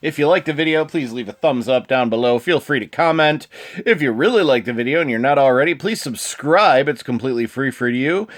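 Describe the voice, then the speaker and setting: A man with a sniffly, allergy-affected voice hosts casually, reading card text aloud with informal asides and some throat-clearing. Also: medium pitch, expressive, a little fast. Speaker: video host.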